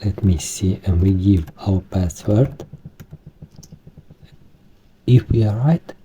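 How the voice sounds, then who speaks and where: Slow, halting man with a Romanian accent. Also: low voice, mellow, muffled recording, slight background noise. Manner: halting, searching for words, many restarts. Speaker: tech vlogger. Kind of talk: screen-recorded tutorial.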